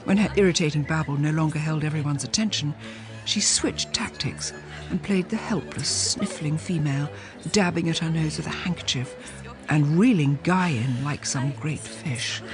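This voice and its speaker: A woman's voice: deep voice, normal speed, natural voice. Actress as a jealous young english wife narrating, dry and sardonic, radio drama, music under her. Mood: sardonic, scornful. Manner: sardonic, relishing descriptive words. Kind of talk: first-person dramatised narration.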